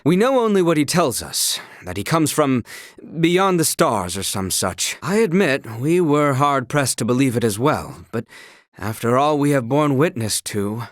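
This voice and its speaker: A man's voice: mid-range voice, sing-song, normal speed, dry recording, studio quality, close to an everyday voice. A man playing an earnest, proud young retainer in a video game, speaking in formal, archaic courtly phrasing. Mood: measured, courteous. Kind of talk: video game character acting.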